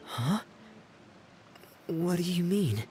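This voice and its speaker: An actor playing a timid, soft-spoken teenage boy, earnest and hesitant, in a video game. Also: high voice, expressive, very slow, a crowd in the background, full character voice. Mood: apologetic. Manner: hesitant, apologetic. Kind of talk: English video game voice acting. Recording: music behind the voice.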